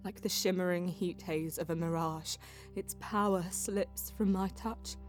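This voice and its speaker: A woman playing a proud, quick-witted elven alien heroine, narrating her story in a lofty, poetic manner in an audio drama. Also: fairly low pitch, fairly even intonation, natural voice. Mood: solemn. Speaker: first-person narrator and lead character. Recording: music behind the voice.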